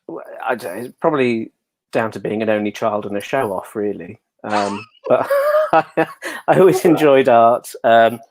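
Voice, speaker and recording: A man's voice: medium pitch, expressive, a little slow, background noise. An English man chatting casually and telling anecdotes as an interview guest, with a jokey, self-deprecating manner. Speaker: interview guest, pantomime dame performer.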